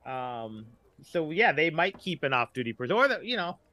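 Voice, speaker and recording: Bright-voiced middle-aged American man. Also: on the high side, sing-song, moderate pace. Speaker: podcast guest, writer. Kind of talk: casual podcast interview.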